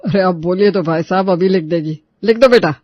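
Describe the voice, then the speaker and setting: A man's voice: high-pitched, brisk, a little mellow, dry recording, highs cut off. A man playing an eager, accommodating middle-aged father of the bride in a story drama.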